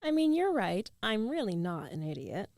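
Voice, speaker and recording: A woman playing a concerned, teasing young ex-girlfriend in an intimate ASMR roleplay, speaking softly and haltingly into a close microphone. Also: mid-range voice, sing-song, vocal fry, no echo. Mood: apologetic, worried.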